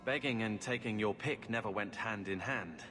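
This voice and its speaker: An actor playing a dry, wary young refugee in a video game, pointed and skeptical in manner. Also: male voice, on the low side, moderate pace, pushed and bright, long reverb tail, a little hiss or hum. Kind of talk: video game dialogue acting. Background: music.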